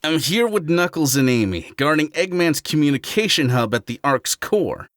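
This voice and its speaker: A man's voice: a little high, expressive, moderate pace, a bit bright, no room sound, clean studio sound. A man voicing an easygoing, goofy hedgehog hero in a fan audio drama, casual and playful. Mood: casual, curious.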